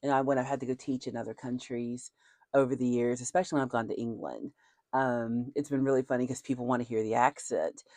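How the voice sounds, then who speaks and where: A speaker from Nashville, Tennessee, with a softened Southern accent, chatting casually and animatedly and laughing often. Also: mid-range voice, fast talker, no room sound. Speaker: video creator. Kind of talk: casual chat.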